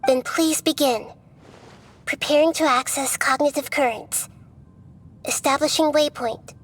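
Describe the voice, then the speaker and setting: A woman voicing a gentle, wise young-girl goddess who speaks softly and patiently, in a video game scene. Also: high voice. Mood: composed. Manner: soft, patient, measured. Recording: game music under voice. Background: game background music.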